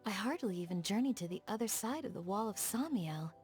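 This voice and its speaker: Woman acting a dutiful village guardian, young woman, soft-spoken, measured, courteous, earnest, video game dialogue, medium pitch. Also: lively intonation, soft background music, close to an everyday voice. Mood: calm, welcoming. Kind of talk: scripted game dialogue, storytelling.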